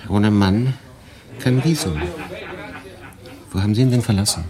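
Actor as a brooding low-voiced man, crowd behind. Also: measured pace, lightly acted. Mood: guarded, probing. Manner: terse, challenging. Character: brooding, probing male confidant in wartime exile. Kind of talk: dramatic radio play acting.

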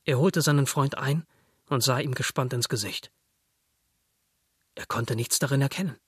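A man reading scripted literary narration as a storyteller, with a husky voice. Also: medium pitch, normal speed, fairly bright tone, dry recording, clean studio sound.

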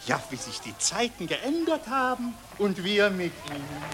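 A man's voice: sits high, big pitch swings, unhurried, very noisy. An actor with a Viennese accent playing a suspicious, sarcastic Viennese man in a street crowd. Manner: sneering, insinuating. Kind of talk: 1960s radio drama acting.

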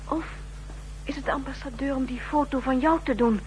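An actress playing an anxious, questioning companion of a spy in a 1960s Dutch radio drama. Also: female voice, high voice, brisk, darkish tone, natural voice. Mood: puzzled. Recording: humming, noisy 1960s radio recording.

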